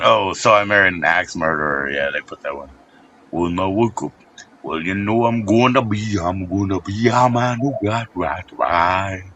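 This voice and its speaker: A man's voice: sits low, bright, forceful tone, muffled recording, a little hiss or hum. A man chatting casually as a podcast host, laid-back, swearing and laughing often. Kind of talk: casual chat.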